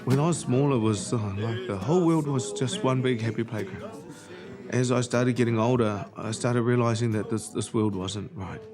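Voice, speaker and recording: A man's voice: medium pitch, moderate pace. A man giving a candid, reflective personal account in a documentary interview. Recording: music playing clearly behind the voice. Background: music.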